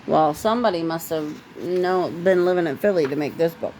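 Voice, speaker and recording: A woman who is a parent reading a storybook aloud in an expressive way, with casual asides. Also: low voice, moderate pace, a little hiss or hum, rough recording.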